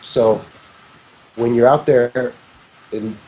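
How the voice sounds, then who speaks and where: A man's voice: medium pitch, fairly even intonation, extremely slow, mellow, poor audio. A man in his fifties, a music producer talking as an interview guest, rambling and reflective, often restarting his sentences. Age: 50s. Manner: rambling, frequent restarts and "you know"s, reflective. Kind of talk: casual conversational interview.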